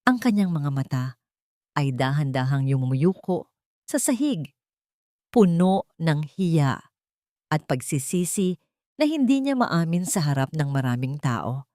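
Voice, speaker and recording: A woman's voice: low-pitched, sing-song, measured pace, long pauses, warm tone, no room sound, clean studio sound. A woman narrator reading a scripted audio drama in a dramatic storytelling style.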